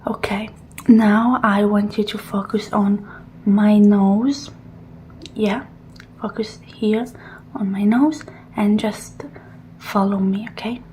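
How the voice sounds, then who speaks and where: Slow, soft-spoken ASMR woman, half whispering. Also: mid-range voice, steady tone, slight background noise. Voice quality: soft, often dropping into a breathy whisper. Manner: gentle, murmured short reassurances and instructions. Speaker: ASMR roleplayer playing a technician who examines a robot. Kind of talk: ASMR roleplay, soft personal attention.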